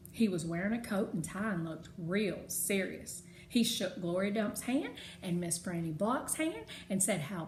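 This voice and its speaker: A woman reading a children's novel aloud as host, with expressive storytelling narration. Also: on the high side. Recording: roomy echo, faint background noise.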